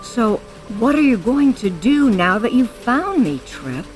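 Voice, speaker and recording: A woman's voice: a little high, sing-song, measured pace, soft background music. An actress playing a guarded, stern woman hiding from her past in an audio drama, speaking tersely and firmly.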